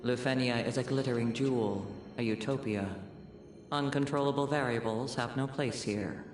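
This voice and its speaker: A man playing a cold, haughty overseer in a video game cutscene, speaking in a measured, formal way. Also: medium pitch, steady tone, close to an everyday voice. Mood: cold disdain. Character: cold, haughty male overseer of a utopian civilisation. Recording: echoey, roomy sound.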